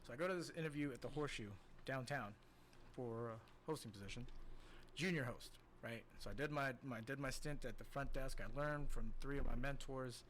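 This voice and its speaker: Animated man telling stories. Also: mid-range voice, brisk, slight background noise. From Philippines. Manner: animated storyteller, keeps checking in with "right?". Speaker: podcast guest, casino host. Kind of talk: casual storytelling interview.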